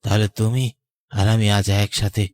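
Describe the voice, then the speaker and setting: A man playing the vengeful ghost of a murdered young lover in an animated horror story, accusing and deliberate. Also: lowish voice, natural voice. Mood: bitter, sorrowful.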